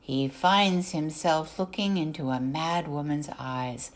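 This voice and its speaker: A woman reading a literary fairy tale for adults as narrator, with deliberate, dramatic phrasing that trails off softly at phrase ends. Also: deep voice. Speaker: narrator, artist reading excerpts. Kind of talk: scripted storybook narration for adults.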